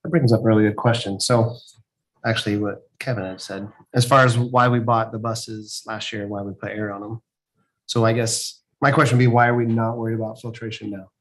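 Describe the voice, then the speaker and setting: A man's voice: on the low side, level tone, a little fast, fairly bright tone, poor audio. A man speaking as a board member, informally raising questions at a public meeting. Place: indoor school board meeting room.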